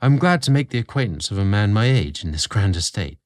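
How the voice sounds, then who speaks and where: A man voicing a polite, reserved young male butler who is quietly smitten, in storybook dialogue. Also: fairly low pitch, sing-song, brisk. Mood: polite, curious.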